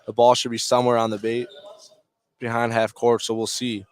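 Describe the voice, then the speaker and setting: A man's voice: mid-range voice, monotone, normal speed, clear, resonant tone. A man calling live basketball play-by-play for radio in short, clipped phrases. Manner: clipped, fragmentary calls of the action. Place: indoor high school gym, courtside.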